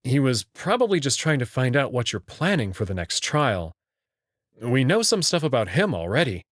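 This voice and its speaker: This is a man playing the uneasy hero of a visual novel, telling his story in the first person. He is casual and a little wry, with lively intonation at an ordinary male pitch. The recording is dry and close. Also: normal speed, no room sound. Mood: uneasy, reflective. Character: anxious, skeptical human man narrating his own story.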